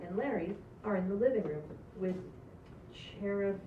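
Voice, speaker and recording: A woman's voice: mid-range voice, normal speed. A woman reading stage directions as scripted narration at a table read. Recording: echoey, distant, dull, slightly noisy room.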